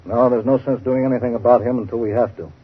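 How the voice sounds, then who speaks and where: An actor playing a worried, scheming American crooked lawyer and crime boss in 1930s radio drama, curt and bossy. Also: male voice, medium pitch, steady tone, fast talker, breathy edge, natural voice. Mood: cold scheming. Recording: tinny, phone-like 1930s radio recording.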